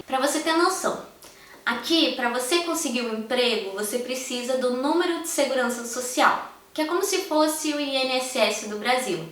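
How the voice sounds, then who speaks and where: A young woman with a Brazilian Portuguese accent speaks frankly and with passion, her voice set a little high. She sits a bit back from the mic in a strongly echoing room. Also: a bit bright, recorded from a distance. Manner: frank, impassioned, speaks straight to viewers. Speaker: vlogger. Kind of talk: candid personal vlog.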